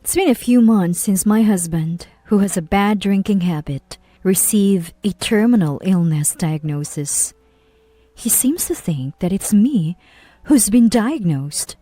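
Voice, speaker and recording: A woman's voice: mid-range voice, warm tone, no echo. A woman narrating a scripted first-person story.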